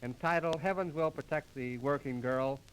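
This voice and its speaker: A man's voice: fairly high pitch, normal speed, slight background noise, rough recording. A man performing theatrical, mock-exasperated comic patter as the straight man of an old 1930s radio comedy duo. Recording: restored 1930s radio transcription disc.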